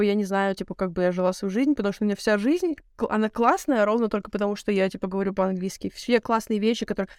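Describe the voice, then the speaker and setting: Fast-talking young woman, casual podcast chat. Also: fairly high pitch, darkish tone, dry recording, clean studio sound. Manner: rapid, filler-heavy slangy talk. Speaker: podcast co-host.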